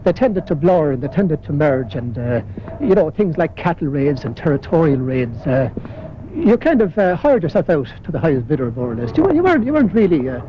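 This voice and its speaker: A man's voice: a little high, sing-song, brisk. An Irish man, a local historian, talking as he walks through old city streets, with many hesitant fillers. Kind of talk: walking interview. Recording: muffled 1980s broadcast with street noise.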